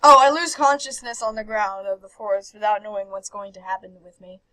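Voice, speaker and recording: A woman hosting a game playthrough, reading visual novel text aloud with animated, casual reactions and stumbling over words now and then. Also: mid-range voice, fairly quick, low-quality recording. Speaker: host of a video game playthrough. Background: game background music.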